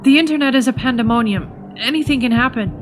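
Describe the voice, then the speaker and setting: A fretful, polite teenage boy idol played by a woman talks in a high voice. The delivery is careful and earnest, slightly fussy, in anime dub style. Music runs clearly behind it. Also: fast talker, natural voice. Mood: earnest, cautioning. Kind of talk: anime fan dub acting. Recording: music under the voice.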